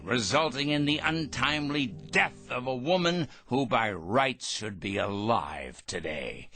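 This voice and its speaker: An actor playing a cold, self-righteous crank obsessed with punishing evil in a radio drama, pompous and sneering in formal, precise diction. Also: male voice, mid-range voice, bright, forceful tone, full character voice. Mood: smug, righteous.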